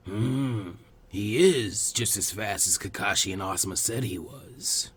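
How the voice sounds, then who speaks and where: A man voicing a low, gentle elderly village leader. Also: breathy edge, exaggerated voice. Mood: fond, reassuring. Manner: slow, gentle, measured. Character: calm elderly male village leader, kindly and grave.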